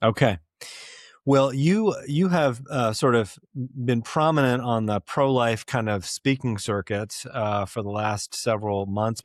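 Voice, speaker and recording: A man's voice: medium pitch, dry recording. Man hosting a podcast interview, dry sound. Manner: measured, with frequent "uh" fillers. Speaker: podcast host.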